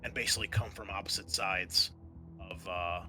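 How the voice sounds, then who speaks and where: A man working as game master of a tabletop roleplaying game, narrating in a conversational, halting way. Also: deep voice, steady tone, soft background music.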